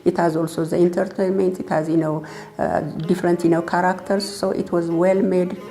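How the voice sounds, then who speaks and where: A woman giving an interview testimonial in halting, repetitive phrases. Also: on the low side, little pitch movement, warm tone. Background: faint music.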